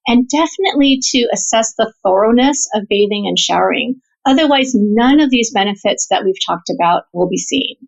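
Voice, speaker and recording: A woman's voice: fairly high pitch, creaky. A woman physician researcher explaining her work as an interview guest, articulate, earnest and emphatic. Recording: slightly dull, lo-fi audio.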